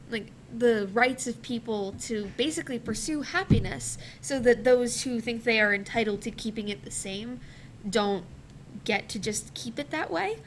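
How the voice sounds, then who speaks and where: A woman's voice: on the high side, fairly bright tone, slight background noise. A young woman student answering on a discussion panel, earnest and idealistic, building long emphatic sentences. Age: teens to young adult.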